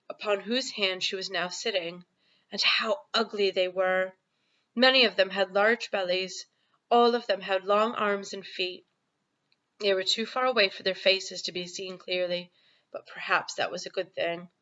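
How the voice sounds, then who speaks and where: A woman narrates a storybook for children in an expressive teacher's style. Her voice is bright and forceful, at a middling pitch. The home recording is slightly dull. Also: a little slow. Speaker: primary school teacher reading aloud.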